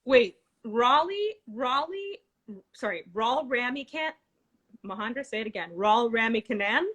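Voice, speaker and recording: A woman with a slightly high voice chats casually as a livestream co-host. She is expressive and talkative, with a self-deprecating way of speaking. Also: measured pace. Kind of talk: casual chat.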